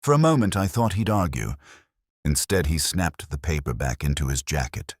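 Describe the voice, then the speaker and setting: A man's voice: extremely low pitch, expressive, normal speed, no room sound, studio quality. A man narrating a first-person detective story in a wry, hard-boiled, scripted manner. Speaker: audiobook narrator.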